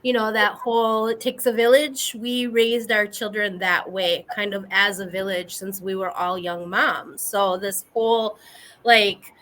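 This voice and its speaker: A woman from the US Midwest whose first language is Spanish, speaking as a guest on a video call panel in a reflective, earnest way with frequent pauses. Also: medium pitch, fairly even intonation, a bit bright, poor audio. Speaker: guest panelist, community organizer. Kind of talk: casual panel chat.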